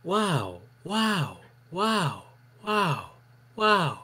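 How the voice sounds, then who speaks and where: A man's voice: sits high, sing-song, drawn-out words, bright, forceful tone, slightly rough. A man streamer chatting casually, rambling with lots of fillers and frequent giggles. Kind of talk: casual chat.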